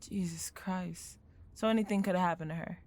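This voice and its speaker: A woman's voice: on the low side, steady tone, normal speed, a little mellow, lightly acted. A woman acting in an audio drama as a principled company employee, speaking in an earnest, probing way. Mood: concerned.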